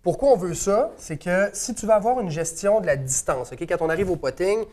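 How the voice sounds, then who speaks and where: Male golf pro, Québécois accent, rapid coaching delivery, slightly high voice. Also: animated, darkish tone. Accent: Québécois French. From Saguenay, Quebec. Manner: quick, coaching with frequent "ok?" check-ins. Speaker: golf instructor. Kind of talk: instructional demonstration.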